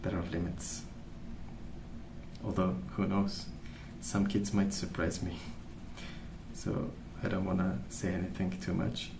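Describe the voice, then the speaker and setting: Soft-spoken low-voiced young man, echoey ASMR. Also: level tone. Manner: gentle, unhurried, musing aloud. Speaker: ASMR video creator. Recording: echoey room, clear background noise.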